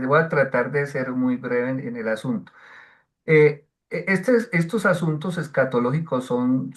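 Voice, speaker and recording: A man teaching the Bible, answering questions in an online class, slow and hesitant with many fillers and restarts. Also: mid-range voice.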